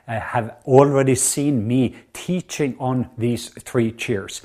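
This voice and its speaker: A man's voice: mid-range voice, darkish tone. A middle-aged man from southwest Norway with a Norwegian accent, preaching a sermon in an earnest, emphatic way with many fillers.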